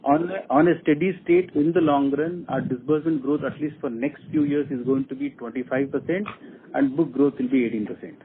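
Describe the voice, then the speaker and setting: A man with an Indian accent, a company executive answering questions on an earnings call in a deliberate way, laying out his points one by one. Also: fairly high pitch. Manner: deliberate, repeats and enumerates points. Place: telephone conference call. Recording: muffled phone line with faint noise.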